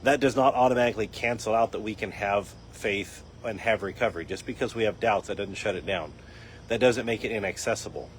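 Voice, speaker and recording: A man hosting a live faith and recovery talk, his voice a little raspy, explaining in an earnest teaching tone with frequent restarts. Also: lowish voice, a little fast, a little hiss or hum. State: raspy voice from dryness. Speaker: host. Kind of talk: casual talk on a live stream.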